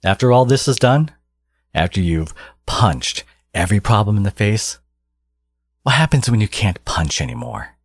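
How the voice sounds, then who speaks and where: A deep-voiced supervillain, played by a man, in an intimate audio drama. He is scornful and possessive, with grandiose, exasperated emphasis that swings widely in pitch. The voice is husky and recorded close and dry. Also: sing-song, normal speed, no room sound. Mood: grandiose, contemptuous. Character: theatrical male supervillain, possessive, scornful archnemesis tending his rival. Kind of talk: scripted audio roleplay monologue.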